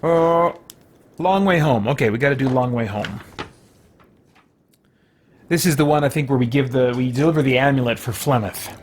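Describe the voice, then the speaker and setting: A man's voice: mid-range voice, normal speed, long pauses. A man hosting a roleplay let's play, improvising commentary in a musing, playfully pompous way. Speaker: gamer, livestream host. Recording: clear noise behind the commentary.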